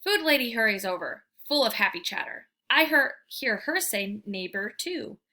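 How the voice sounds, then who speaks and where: A woman reading a children's book aloud as a storyteller, with expressive, emphatic delivery. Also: on the high side, normal speed. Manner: animated, emphatic, theatrical delivery.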